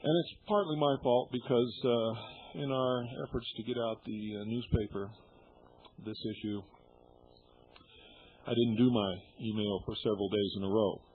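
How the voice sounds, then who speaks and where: Gruff blunt man on tinny shortwave radio. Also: fairly low pitch, fairly even intonation, moderate pace. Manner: blunt, indignant, swears freely. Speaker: radio host.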